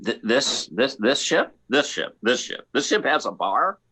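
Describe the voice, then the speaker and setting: A man plays a cocky maverick starship pilot for radio comedy. The voice is bright and forceful, leaping up and down in pitch. He rambles and doubles back on his words. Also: very animated, slightly rough, full character voice. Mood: eager. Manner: rambling, halting, repeats words. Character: cocky, rambling, free-spirited male maverick space pilot. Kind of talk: comic radio play acting.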